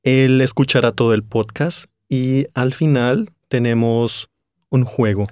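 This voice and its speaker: A man presents a language podcast. He explains things clearly at a middle pitch, and the sound is close and dry. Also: slow, a little mellow, no echo. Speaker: podcast co-host, language teacher. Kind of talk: casual podcast chat.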